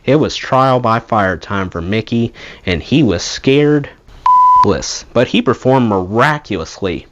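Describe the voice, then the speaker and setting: A man reads a memoir aloud as an audiobook narrator. His pitch moves up and down in a lively way, giving the storytelling an expressive, animated feel. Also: measured pace, lo-fi sound, slight background noise. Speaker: audiobook reader, channel host.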